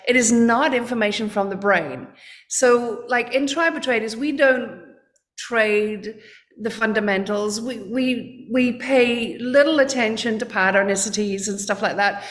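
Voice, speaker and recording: Woman, fairly high pitch, earnest, halting podcast guest. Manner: earnest, searching for words, repeats herself. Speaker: guest, trading coach. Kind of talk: podcast interview.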